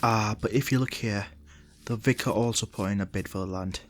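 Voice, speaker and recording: A man playing a steady, matter-of-fact police officer in an audio drama. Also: lowish voice, fairly quick, close to an everyday voice. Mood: businesslike.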